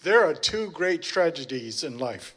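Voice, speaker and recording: Male church interpreter with a fairly high voice, renders each phrase quickly and corrects himself as he goes. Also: sing-song, fairly bright tone. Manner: searches for words and corrects himself mid-phrase.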